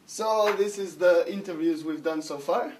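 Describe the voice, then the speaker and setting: Young man, slightly high voice. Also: moderate pace, a little mellow, slight background noise, low-quality recording. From Spain. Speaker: cameraman. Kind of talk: casual explanation to camera.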